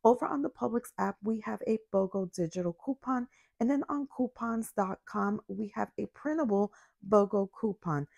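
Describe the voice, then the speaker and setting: A young woman with a raspy, fading voice after a cold, giving a casual voiceover for her couponing shopping vlog. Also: medium pitch, moderate pace, a little mellow, no echo, lo-fi sound. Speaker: couponing vlogger. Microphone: voiceover mic.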